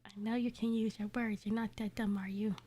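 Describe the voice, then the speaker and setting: A woman's voice: mid-range voice, flat delivery, brisk, dark tone, lightly acted. A woman voicing a teasing, domineering lover in fan fiction, condescending and commanding.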